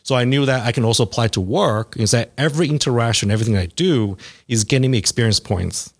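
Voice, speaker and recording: Man, forties, mid-pitched, casual interviewee, halting delivery with fillers and restarts. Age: 40s.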